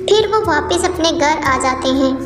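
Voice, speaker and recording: Female narrator for a children's bird tale; very high voice, long hall reverb over music. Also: lively intonation, measured pace. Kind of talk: scripted children's story narration.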